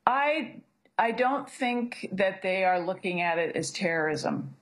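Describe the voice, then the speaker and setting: A woman's voice: mid-range voice, expressive, a little slow. A middle-aged woman, a physician assistant, speaking earnestly in a news interview, often restarting her sentences. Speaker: physician assistant, abortion provider.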